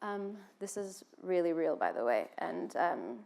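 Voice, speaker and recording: A woman's voice: lowish voice, sing-song, unhurried, vocal fry, warm tone. An American woman from Washington, D.C., giving an informal seated talk to an audience in a conversational way, with frequent "um" fillers. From United States (Washington, D.C.). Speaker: speaker at a public talk.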